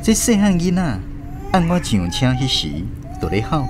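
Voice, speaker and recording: A man with a Taiwanese accent giving expressive scripted narration as the host of a radio drama. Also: a little high, moderate pace, noisy background.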